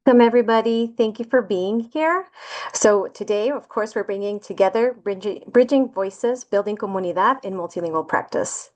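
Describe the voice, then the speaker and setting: A woman's voice: medium pitch, normal speed. Woman reading a welcome over a video call. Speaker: webinar host introducing speakers. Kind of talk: scripted welcome remarks.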